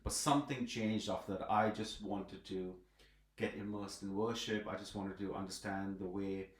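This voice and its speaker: Low-voiced man in an echoey room. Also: fairly even intonation, normal speed. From Pakistan. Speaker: podcast guest. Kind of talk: conversational personal storytelling. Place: front room of a house, indoors, in person. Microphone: improvised iPhone setup.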